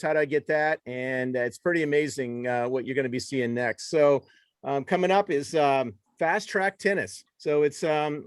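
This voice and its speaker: A man's voice: fairly high pitch, clean tone, dry recording. A man hosting a virtual conference, rambling a little and using many fillers as he introduces speakers. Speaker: conference host. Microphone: video call.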